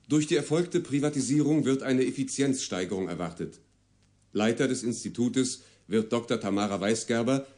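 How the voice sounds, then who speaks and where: Formal male newsreader played by an actor in a radio play, fairly low voice, neutral n precise. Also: a little mellow, lightly acted. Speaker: news reader and announcer. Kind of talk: scripted formal radio news reading and announcement.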